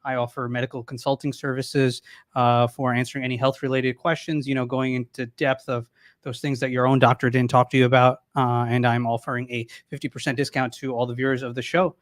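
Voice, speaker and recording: A man appearing as a guest sponsor, speaking calmly with a deadpan sense of humor. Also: mid-range voice, brisk. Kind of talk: sponsor pitch.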